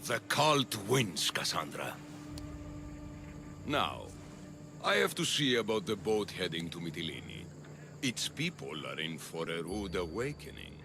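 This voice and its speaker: A man's voice: low-pitched, very animated, a little slow, creaky, pauses often, noisy background, full character voice. A man playing a smug, power-hungry politician of ancient Athens in a video game, speaking in grandiose oratory. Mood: menacing. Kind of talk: cinematic video game acting.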